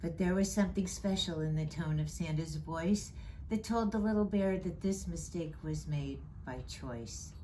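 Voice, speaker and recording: A woman reading a rhyming children's story aloud in a measured, expressive storytelling way. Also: lowish voice, slight background noise, rough recording. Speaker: storybook reader in character as Mrs. Claus. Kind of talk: scripted children's storybook narration in rhyming verse.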